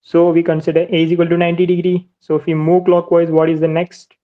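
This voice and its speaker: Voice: mid-range voice, monotone, fast talker, phone-quality sound. A maritime navigation teacher giving a screen-recorded whiteboard lesson, explaining step by step and repeating points often. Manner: methodical, step-by-step explaining with much repetition.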